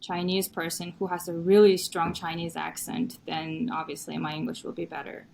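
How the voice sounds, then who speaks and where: Chatty Mongolian woman, lowish voice. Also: normal speed, vocal fry, slight background noise, rough recording. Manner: animated, chatty, frequent "you know". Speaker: podcast guest, researcher. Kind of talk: conversational interview.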